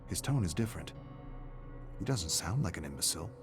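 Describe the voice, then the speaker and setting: A man reading dramatic scripted narration of a dark story in a measured, suspenseful way. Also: low voice, big pitch swings, music in the background.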